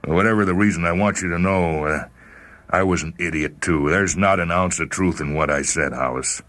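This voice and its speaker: A man voicing an aging, apologetic spaceman who confesses haltingly in a dramatic story reading. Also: low-pitched, a bit bright, voice pushed up. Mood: remorseful, confessing. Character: aging spaceman, remorseful old rival confessing before death.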